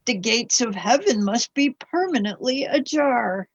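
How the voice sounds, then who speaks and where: A woman's voice: fairly high pitch, animated, measured pace, muffled recording, slight background noise. A woman acting in a radio-style play as a polite, curious 19th-century wife, speaking in a formal, old-fashioned way. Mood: concerned, prim.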